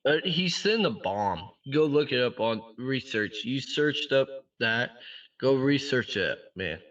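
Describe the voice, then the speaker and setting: A man on a video-chat link speaks very slowly and offhandedly, with casual profanity. His voice comes from far off the mic and sounds dull and muffled through the call. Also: medium pitch, animated, a bit bright. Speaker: random video chat stranger.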